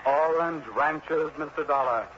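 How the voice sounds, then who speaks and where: A man playing a fussy, tight-fisted middle-aged insurance executive in an early 1960s radio drama, stammering, pompous and quick to take offence. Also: on the high side, fairly bright tone. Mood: blustery, insistent. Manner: stammering, pompous, easily indignant. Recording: noisy, dull vintage radio recording.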